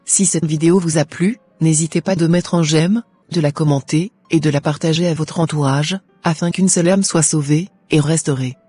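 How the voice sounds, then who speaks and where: Earnest churchgoing sister in a cartoon played by a woman, husky, quiet music. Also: low voice, steady tone, normal speed, no echo. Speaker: channel co-host. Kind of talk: scripted cartoon voice-over.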